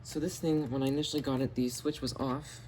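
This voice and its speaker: A man's voice: medium pitch, steady tone, fairly quick, a little mellow, a little hiss or hum, low-quality recording. A man giving a casual hands-on product demonstration on a home camera recording. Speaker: video host, hobbyist collector. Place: indoors in a home basement.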